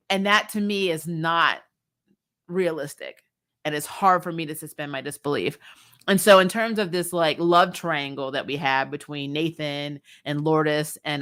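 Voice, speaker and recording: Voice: mid-range voice, bright, forceful tone. A middle-aged host chatting casually and bluntly through a TV review, often exasperated and swearing freely. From grew up on military bases. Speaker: host of a TV recap show. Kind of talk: casual opinionated review chat.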